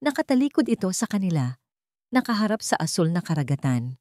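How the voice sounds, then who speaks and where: A woman's voice: medium pitch, very animated, fairly quick, darkish tone, no echo, clean studio sound. A woman with a Filipino accent reading dramatic scripted narration as a storyteller.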